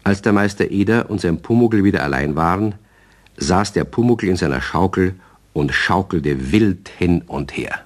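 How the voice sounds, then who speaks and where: A man's voice: sits low, expressive, moderate pace. A man narrating a scripted children's radio story.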